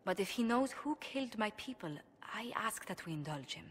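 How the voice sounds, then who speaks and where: A woman's voice: mid-range voice, animated, normal speed, husky. An anxious noblewoman, echoing hall. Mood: composed, explaining. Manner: formal, precise, earnest. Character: proud, anxious young noblewoman diplomat, dutiful and composed. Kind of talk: video game cutscene acting.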